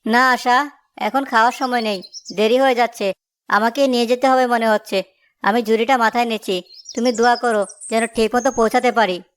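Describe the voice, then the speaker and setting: A woman's voice: on the high side, fairly even intonation, fairly quick, clean tone, natural voice. A woman voicing an honest, hardworking married village man who sells samosas, earnest and emotional, in a moral-story cartoon. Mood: anxious. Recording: music behind the voice.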